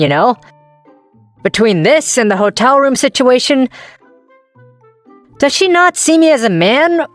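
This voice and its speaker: A woman's voice: sits high, no room sound. A woman voicing a young male office worker and ex-boyfriend in a manga dub, introspective and wryly self-deprecating, narrating and talking in character. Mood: puzzled.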